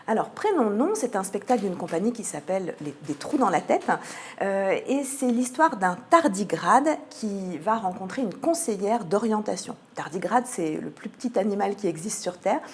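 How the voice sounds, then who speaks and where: A woman's voice: medium pitch, lively intonation, normal speed. A woman with an accent from France, explaining a cultural programme as a radio interview guest, articulate but often hesitating as she finds her words. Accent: French from France.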